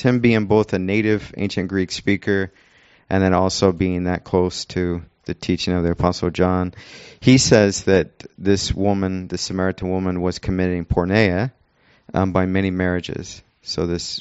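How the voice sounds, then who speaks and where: Low, flat, smooth-voiced man explaining scripture earnestly at a church meeting; dry, dull sound. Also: dry recording. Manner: earnest, explanatory, citing history. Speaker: church member sharing. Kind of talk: unscripted church discussion.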